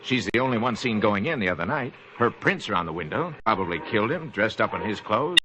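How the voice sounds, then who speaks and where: A man playing a brisk, confident police captain in an old-time radio crime drama, with an authoritative, clipped manner on a noisy vintage recording. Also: lowish voice, animated, close to an everyday voice. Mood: confident, decisive.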